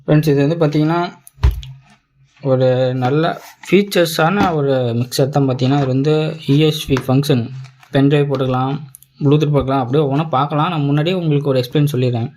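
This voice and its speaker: A man's voice: a little high, fairly even intonation, quick pace, a little mellow. A man with a Tamil Nadu Tamil accent giving a casual product demo as a tech reviewer, explaining step by step in colloquial, repetitive phrases. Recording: clear background noise, clicks.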